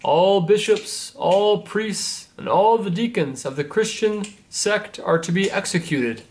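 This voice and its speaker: A man voicing a Roman messenger in a storybook, loudly and slowly proclaiming a stern imperial decree. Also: sits high, voice pushed up. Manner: loud, slow, declamatory public proclamation. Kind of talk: storybook read-aloud.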